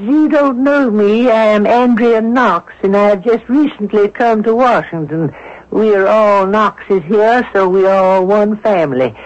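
A man voicing a sweet, earnest woman addressing a gathering in a 1970s radio drama. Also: high voice. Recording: dull 1970s radio broadcast recording.

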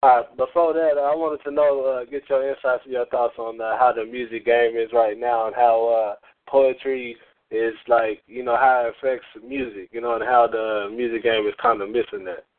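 A man's voice: medium pitch, moderate pace. Man, radio call-in host, easygoing and full of fillers, phone-line sound. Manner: relaxed, heavy on fillers. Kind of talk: casual call-in interview.

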